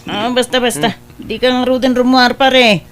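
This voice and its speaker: A woman's voice: on the high side, fairly even intonation, a little slow, close to an everyday voice. A woman with an Ilocano accent playing a teasing, hard-drinking elder sister in a radio comedy drama. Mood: coaxing.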